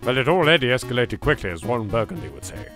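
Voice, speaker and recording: A man's voice: medium pitch, sing-song, fast. A British man giving playful gameplay commentary with bemused, exclamatory reactions. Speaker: let's play commentator. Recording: music behind the voice. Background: game music.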